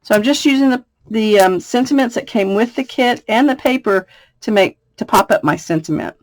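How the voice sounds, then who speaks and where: A woman from the United States with a slightly raspy voice, chatting through a card-making tutorial and laughing between steps. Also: a little high, animated, moderate pace. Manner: chatty, laughs often, thinks aloud. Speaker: craft demonstrator. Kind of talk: casual craft tutorial.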